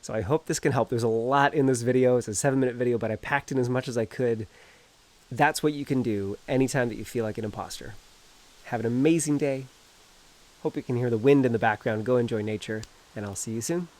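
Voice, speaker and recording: A man's voice: medium pitch, fast talker, a little mellow, low-quality recording. A man with a cold and a stuffed-up nose, earnestly encouraging viewers as a self-help coach while talking outdoors. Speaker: energy healer and coach.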